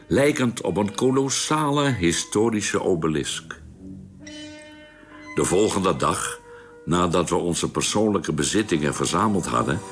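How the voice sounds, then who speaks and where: A man acting a calm expedition doctor in a radio drama, speaking in a measured, unhurried way. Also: deep voice, full character voice. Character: calm male doctor, space expedition narrator and amateur archaeologist. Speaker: narrator and actor. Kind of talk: radio drama narration and dialogue.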